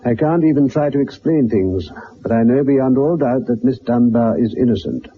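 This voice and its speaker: An actor playing a genial, loyal gentleman with an English accent, well-spoken and literary, in the style of 1960s radio drama. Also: mid-range voice, normal speed, husky, lightly acted. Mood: measured reading. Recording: muffled, noisy 1960s radio recording.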